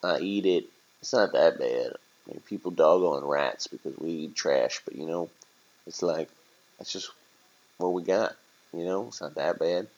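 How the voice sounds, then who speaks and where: A man's voice: low voice, a little slow, darkish tone, lo-fi sound. A man voicing a jaded, laid-back street rat in a story, blunt but friendly and full of casual slang. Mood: shrugging, friendly.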